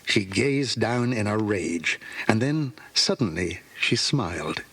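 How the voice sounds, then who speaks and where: Casting: man, children's story narrator, husky tone with lively, expressive pitch movement. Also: takes it slowly.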